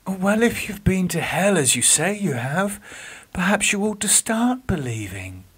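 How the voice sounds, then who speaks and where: A man voicing a kind female night nurse who calms a frightened patient gently. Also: high voice, measured pace, husky, pitched above the performer's own voice, exaggerated voice. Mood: soothing. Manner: soothing, gentle.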